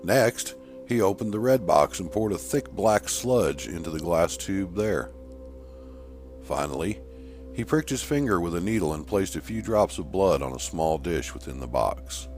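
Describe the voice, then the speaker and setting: A man's voice: sits low, lively intonation, measured pace, a little mellow, faint music behind. A man reading a scripted horror story as an audiobook narrator.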